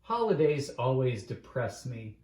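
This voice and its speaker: A man's voice: medium pitch, big pitch swings, unhurried, a little mellow. A man voicing a gloomy, wishy-washy young boy who sighs, hesitates and complains in a read-aloud storybook, in a roomy recording.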